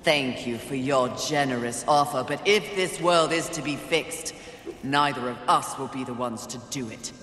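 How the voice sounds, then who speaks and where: A woman's voice: extremely low pitch, normal speed. A woman playing a stern, proud warrior horseman in a video game, cold and commanding. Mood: cold, resolved. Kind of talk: video game heroine acting. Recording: echoey hall, faint noise behind.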